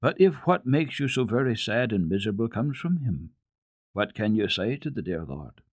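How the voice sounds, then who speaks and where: A man voicing a sad, gentle, thoughtful gentleman doctor in a grave, kindly manner for a storybook reading. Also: on the low side, sing-song, moderate pace, no echo, studio quality. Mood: hesitant, sad.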